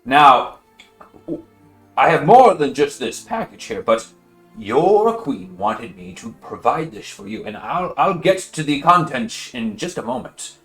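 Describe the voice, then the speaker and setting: A man voicing a nervous, smooth-talking male messenger who stammers and flatters. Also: medium pitch, measured pace, pitched above the performer's own voice. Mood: flattering. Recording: roomy echo, faint music.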